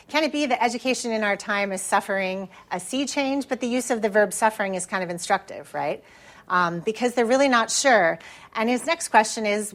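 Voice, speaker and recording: An American woman lecturing as a professor in a conversational, explanatory way, often checking in with short tags as she goes. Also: medium pitch.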